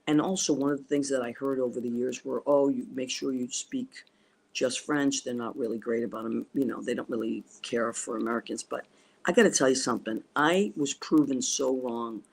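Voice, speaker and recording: A woman's voice: a bass voice, moderate pace, gravelly, a little mellow, husky, poor audio. Woman talk host, native italian speaker, rambling, enthusiastic, lots of ums, video call sound. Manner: rambling and enthusiastic, many fillers and restarts. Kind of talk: casual livestream chat. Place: abroad on a trip, streaming remotely.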